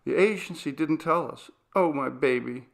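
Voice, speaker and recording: A tender, anxious mother from a storybook ghost tale, as a man reads her. The voice sits higher than plain narration and comforts a child. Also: moderate pace, vocal fry. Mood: worried, tender.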